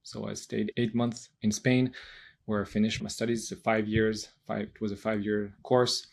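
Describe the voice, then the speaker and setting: French man with a gravelly, creaky voice. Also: on the low side, level tone, normal speed. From France. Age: 30s. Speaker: podcast guest. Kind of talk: casual personal storytelling.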